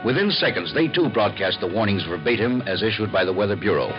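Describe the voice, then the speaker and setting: An American man playing an authoritative explainer, formal and measured, in mid-century radio documentary style. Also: lowish voice, animated, lightly acted. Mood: formal, reassuring. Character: authoritative male explainer of civil defense procedures. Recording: muffled old radio recording, loud music.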